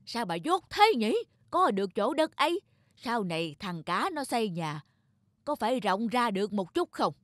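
A man's voice: high-pitched, big pitch swings, moderate pace, fairly bright tone, no echo, studio quality. A man voicing a spiteful, quarrelsome older male neighbor in a cartoon, with a scornful, snapping manner. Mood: resentful. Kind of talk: cartoon voice acting.

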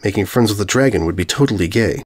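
Male narrator, animated intonation, comic story reading, scripted. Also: on the low side, fast. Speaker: fan fiction narrator.

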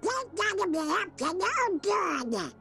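A man voicing a small, grumpy cartoon duck in a video game, with a garbled, quacking rasp. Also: very high-pitched, very animated, slow pace, sharp tone, faint music behind, full character voice. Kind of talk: video game cutscene acting.

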